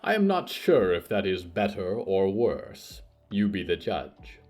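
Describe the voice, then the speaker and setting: A man delivering formal, theatrical scripted narration for a horror fiction podcast, in a measured storytelling manner with a husky voice. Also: low voice, sing-song, a little mellow, soft background music.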